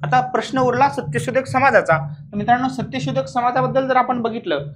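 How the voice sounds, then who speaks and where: A man's voice: very high-pitched, brisk. A man teaching an exam revision class in a didactic way, asking questions, answering them himself and repeating key words.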